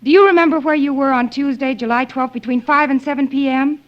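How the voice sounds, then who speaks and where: A woman's voice: sits high, fairly even intonation, quick pace, dull audio, lightly acted. An actress playing an anxious, forgetful young working woman, fretting and questioning herself in an old radio thriller. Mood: uneasy. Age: young adult. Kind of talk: 1940s-50s radio thriller acting, inner monologue and dialogue. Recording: old radio drama, music underneath.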